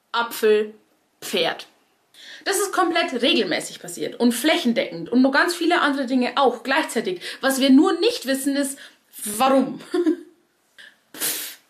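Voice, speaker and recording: A young woman with a Bavarian accent, a cabaret artist explaining language history to radio listeners in a wry, direct way. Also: a little high. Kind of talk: scripted radio segment talking to listeners.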